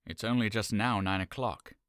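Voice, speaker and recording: A man's voice: fairly low pitch, steady tone, moderate pace, a bit bright, no echo, studio quality, close to an everyday voice. An actor playing a caring young cousin in an audio drama, earnest and coaxing as he questions his friend. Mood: concerned.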